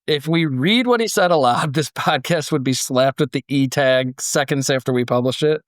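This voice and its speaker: A man hosting a podcast, moving between storytelling and casual discussion, acting out imagined quotes in an animated way. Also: medium pitch, moderate pace, no echo, clean studio sound. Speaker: podcast host.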